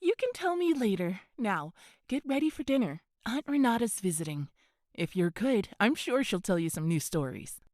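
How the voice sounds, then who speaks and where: A woman playing an affectionate mother in an audio drama, tender and gently teasing. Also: medium pitch, sing-song, normal speed, dry recording, studio quality, natural voice. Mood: tender, playful.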